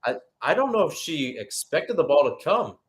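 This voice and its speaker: A man's voice: on the high side, sing-song, normal speed. Man calling live football play-by-play. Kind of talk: live radio-style match commentary.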